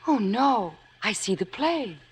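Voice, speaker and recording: An actress playing a meek, earnest young theater fan in a 1950s radio drama, demure and deferential. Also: female voice, on the high side, very animated, slow, slight background noise. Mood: shy, earnest. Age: young adult.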